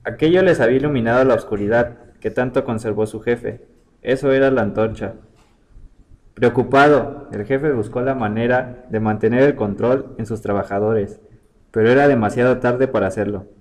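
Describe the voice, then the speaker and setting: A man's voice: medium pitch, moderate pace, darkish tone. Man narrating a short story.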